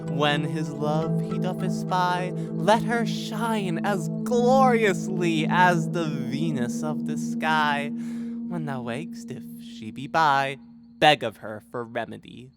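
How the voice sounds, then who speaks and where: A woman playing a scheming, commanding fairy monarch in a Shakespeare audio drama, speaking verse imperiously and deliberately. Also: sits low, lively intonation, over loud music. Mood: incantatory.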